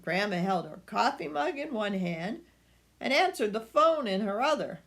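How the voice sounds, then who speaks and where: An older woman reading a picture book aloud to children, expressive and speaking directly to her young listeners. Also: mid-range voice, normal speed.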